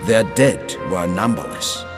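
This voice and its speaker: An actor playing a solemn, proud narrator of samurai history, giving dramatic, emphatic video game cutscene narration. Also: male voice, low-pitched, animated, a little slow, music in the background. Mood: solemn pride.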